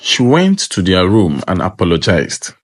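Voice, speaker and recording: A man's voice: deep voice, huge pitch swings, unhurried, no echo, studio quality. A man narrating a scripted folktale as a storyteller.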